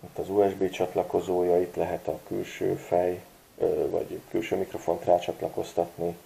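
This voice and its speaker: Low-voiced man reviewing a gadget casually. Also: gravelly, slight background noise. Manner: relaxed, chatty, frequent hesitation fillers. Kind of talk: casual product review vlog.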